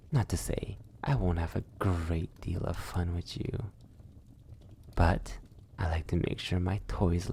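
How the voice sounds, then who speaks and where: A man's voice: sits low, creaky, a little mellow, slight background noise, exaggerated voice. A man playing a sadistic, possessive, centuries-old vampire in an intimate ASMR roleplay, silky and menacing, often dropping toward a whisper.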